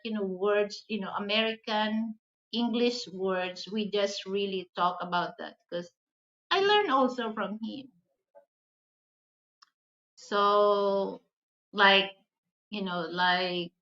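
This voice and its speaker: Bright-voiced Filipino woman, halting and unhurried. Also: medium pitch. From the Philippines. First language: Hiligaynon. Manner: halting, repeats words and phrases often. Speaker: video channel host. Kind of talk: casual vlog chat with viewers. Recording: dull, roomy, echoey sound.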